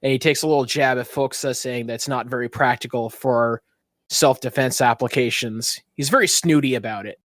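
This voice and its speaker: A man's voice: medium pitch, moderate pace, fairly bright tone, no echo. A man narrates a tabletop game as its game master. His voice sits in a middle register, recorded close and dry. He talks colloquially, slipping between storytelling and joking banter. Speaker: game master and show host.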